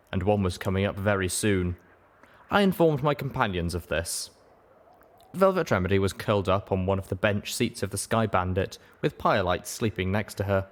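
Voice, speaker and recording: A man reading scripted first-person fiction as an audiobook narrator. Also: on the low side.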